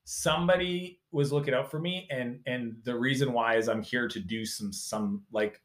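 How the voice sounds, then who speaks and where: Male guest, bright and forceful tone, emphatic storyteller, roomy sound. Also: medium pitch. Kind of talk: casual interview talk.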